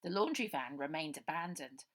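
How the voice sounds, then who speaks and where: A woman's voice: low-pitched, animated, normal speed, a bit bright. A woman narrating a children's adventure story as its author, reading aloud.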